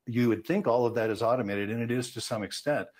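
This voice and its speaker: Husky older American man, expert commentator. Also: medium pitch, fast, darkish tone. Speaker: aviation safety analyst. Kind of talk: expert commentary in a news interview. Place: indoor room on a video call. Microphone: video call.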